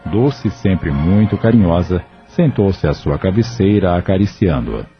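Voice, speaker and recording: A man with a rather low voice narrates a drama at a measured, steady pace. The recording sounds dull and lo-fi, with soft music running beneath. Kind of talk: scripted audio drama narration.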